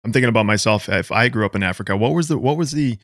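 A man's voice: fairly low pitch, expressive, rapid-fire, fairly bright tone, dry recording, studio quality. A man co-hosting a podcast who jokes and asks questions casually. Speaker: podcast co-host.

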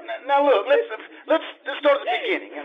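Man acting a high-pitched, flustered jealous husband. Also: expressive, quick pace, gravelly, full character voice. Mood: nervous, evasive. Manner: stammering, evasive, dryly sarcastic. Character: flustered, jealous husband caught between two wives. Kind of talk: live stage radio comedy acting. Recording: dull lo-fi live stage recording.

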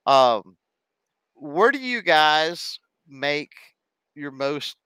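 Clear, smooth-voiced male podcast host. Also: a little high, big pitch swings, drawn-out words, sharp tone, dry recording, poor audio. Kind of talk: casual interview chat.

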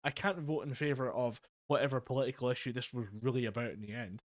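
A man's voice: medium pitch, steady tone, fast, slight background noise. A young man co-hosting a casual podcast, telling stories in a rambling way with frequent swearing and laughter. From Scotland. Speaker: podcast co-host. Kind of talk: casual chat. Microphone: home mic over a voice call.

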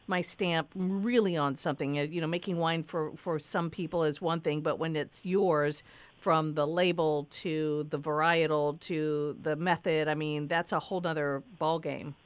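A woman's voice: low-pitched, fairly even intonation, moderate pace, clear, resonant tone, no echo. A woman hosting an interview, chatty and leading the questions. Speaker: interview host. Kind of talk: casual interview chat.

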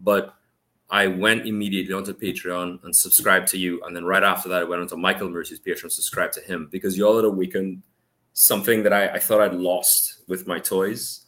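Bright-voiced Trinidadian man, low pitch. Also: level tone, brisk. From Trinidad and Tobago. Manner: rambling, full of restarts and fillers. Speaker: podcast guest. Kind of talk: casual podcast chat.

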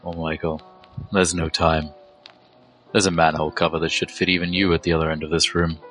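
A man playing a wounded, grieving dwarf in an audio drama, resigned and tender in a measured way. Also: very deep voice, level tone, a bit bright. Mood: weary, tender. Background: music.

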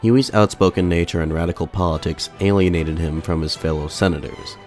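A man hosting a podcast, narrating history in a measured, formal way, his voice slightly off after a mild illness. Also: deep voice, darkish tone, faint music behind. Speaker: podcast host.

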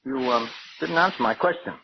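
A man's voice: medium pitch, animated, a little fast, vocal fry. An actor playing a dying husband who suavely taunts his wife in theatrical, mock-affectionate tones, in a 1940s radio drama. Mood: suave taunting. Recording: muffled, hissy old radio recording.

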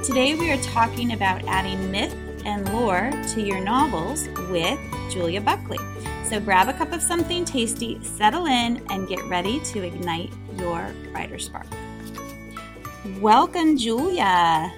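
A woman's voice: medium pitch, animated, measured pace, over loud music. A woman hosting a writing podcast, chatting eagerly with her guest. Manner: upbeat, eager. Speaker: podcast host. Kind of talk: casual interview chat.

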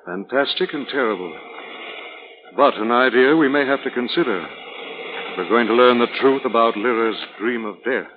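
Gentleman investigator male actor, grave and analytical, measured delivery. Vintage radio mystery, dull sound with clear hiss. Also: medium pitch, close to an everyday voice. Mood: grave, pondering. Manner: measured, deliberate, serious. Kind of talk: 1940s radio acting. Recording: dull, noisy 1940s radio recording.

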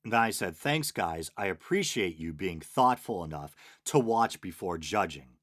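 Boston-accented male podcast host, very low voice, dry sarcastic humor. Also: expressive, moderate pace, a bit bright. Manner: wry, dryly sarcastic, chuckling asides. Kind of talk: casual solo podcast chat, reading listener comments.

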